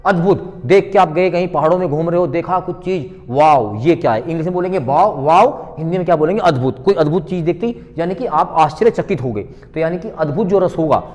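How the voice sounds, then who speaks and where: A man's voice: a little high, animated, a little fast, few pauses, darkish tone. A man teaching an exam revision lesson, asking questions and answering them himself, repeating key points didactically. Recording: long hall-like reverb on voice.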